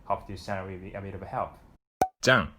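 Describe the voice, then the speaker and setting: Young Japanese man teaching calmly. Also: low-pitched, noisy background. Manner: calm and explanatory, says Japanese example phrases more loudly and quickly. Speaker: language teacher. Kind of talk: scripted lesson to camera. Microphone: lapel mic.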